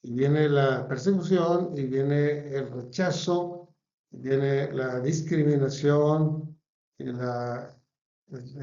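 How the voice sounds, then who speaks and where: A man's voice: medium pitch, mellow, reverberant, dull audio. A man with a Mexican accent preaching a sermon in an earnest cadence, stacking phrases one after another. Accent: Mexican Spanish. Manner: earnest, repetitive listing cadence.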